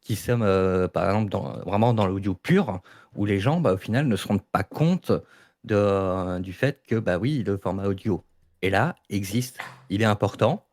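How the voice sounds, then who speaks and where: A man's voice: fairly low pitch, normal speed, a little mellow. A man talking informally in a debate, explaining his views in an emphatic, colloquial way. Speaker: audio fiction maker in a panel debate. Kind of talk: informal debate, casual discussion.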